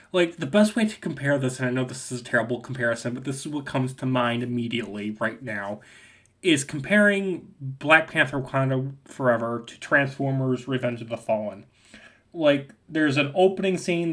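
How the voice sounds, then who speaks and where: A young man with a slight cold rambles through a casual video review rant, full of fillers and restarts, as a movie reviewer and streamer. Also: medium pitch.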